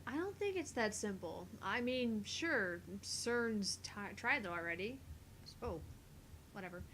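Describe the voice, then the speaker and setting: A woman's voice: medium pitch, lively intonation, measured pace, fairly bright tone, slight background noise, rough recording. A woman doing an acted reading of dialogue from a visual novel game. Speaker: voice reader in a visual novel playthrough.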